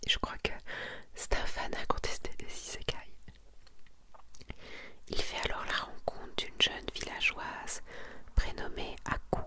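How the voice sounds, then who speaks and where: A woman whispering in a breathy, intimate ASMR style, casually presenting her collection to one listener. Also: unhurried, pauses often, bright, forceful tone. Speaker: ASMR video creator presenting a manga collection.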